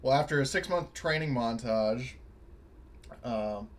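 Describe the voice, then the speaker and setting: A man's voice: mid-range voice, lively intonation, unhurried. A man chatting casually as a player in a tabletop game, informal and free with swearing. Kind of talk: casual chat. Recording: clearly noisy microphone.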